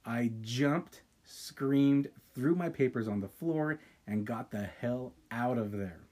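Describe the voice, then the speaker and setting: Male podcast co-host, mellow husky tone, reads listener emails dramatically. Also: medium pitch. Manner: reads with dramatic pauses, breaks off to react. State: sipping wine. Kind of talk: casual podcast chat, reading listener stories aloud.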